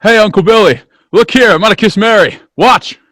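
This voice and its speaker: An actor playing an earnest, frustrated small-town young man in old-time radio style, folksy and halting. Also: high voice, fairly bright tone, dry recording, clean studio sound. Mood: playful.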